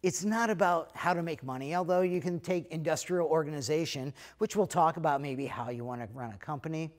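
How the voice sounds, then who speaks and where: A middle-aged American man, head of an economics school, talking casually to prospective students in a relaxed way, with many fillers. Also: a little high, fairly quick. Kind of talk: recorded talk to prospective students. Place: lecture room, then plain backdrop indoors.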